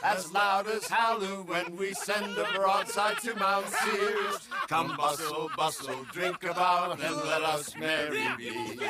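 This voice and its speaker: A man's voice: high voice, fairly even intonation, takes it slowly, hardly any pauses, very noisy. A man playing a merry carouser, singing a hearty old sea shanty in folk style. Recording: loud crowd joining behind.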